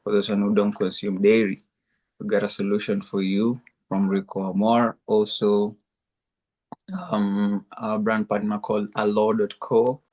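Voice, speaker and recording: A man's voice: lowish voice, completely flat delivery, measured pace, darkish tone. A man hosting a podcast interview, reading sponsor plugs and asking questions with lots of hesitant "um"s. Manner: hesitant, many "um"s. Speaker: podcast host.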